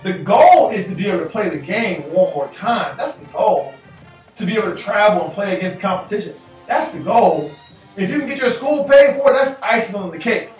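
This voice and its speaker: A man in his thirties talking straight to camera, answering viewer emails with animated gestures and repeating phrases for emphasis. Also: high-pitched. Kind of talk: casual advice talk to camera. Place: indoor room in front of a chalkboard backdrop. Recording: echoey room, voice a bit far off, faint music.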